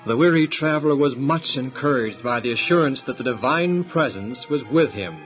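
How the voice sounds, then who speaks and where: A man's voice: medium pitch, animated, moderate pace. A man narrating a dramatized Bible story in a scripted storytelling style. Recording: muffled sound with music underneath.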